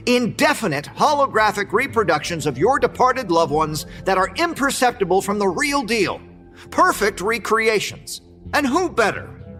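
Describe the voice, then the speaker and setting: An actor playing a slick, showy male presenter in an audio drama, grandiose, with dramatic pauses. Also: sits high, sharp tone, heavily acted. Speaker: event presenter. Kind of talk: theatrical audio drama, keynote showman.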